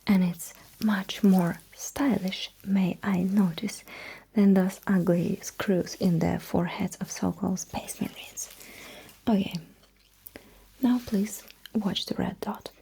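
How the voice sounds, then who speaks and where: A woman whispering breathily in an ASMR roleplay as a sci-fi technician, giving soft, reassuring instructions close to the microphone. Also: mid-range voice, steady tone, slow pace, creaky, husky, poor audio.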